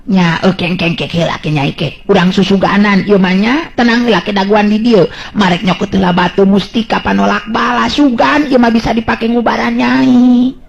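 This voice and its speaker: A man's voice: high-pitched, steady tone, moderate pace, gravelly, few pauses, pitched above the performer's own voice. A man voicing a kindly, anxious older village woman in a Sundanese radio story, muttering hesitantly with repeated words. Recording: dull lo-fi radio-style recording.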